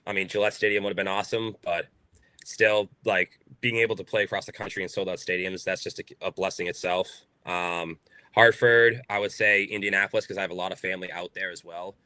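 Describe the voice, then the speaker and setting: Brash young Boston man, deep voice. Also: steady tone, fairly quick, sharp tone, clear, resonant tone, no room sound. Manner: outspoken, filler-heavy casual talk. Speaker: baseball player guest. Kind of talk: podcast interview.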